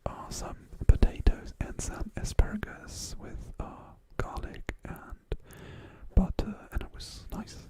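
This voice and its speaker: An ASMR livestream host whispering softly in a rambling, relaxed way while reading viewer messages aloud from a room at home. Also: takes it slowly.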